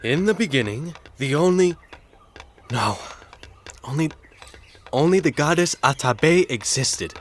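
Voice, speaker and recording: A high, very expressive boyish voice for an audio drama. He is an earnest, self-doubting young Taíno villager, with faint ambience behind him. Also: measured pace, long pauses, a little hiss or hum. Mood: nervous. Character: anxious young Taíno village boy, aspiring storyteller.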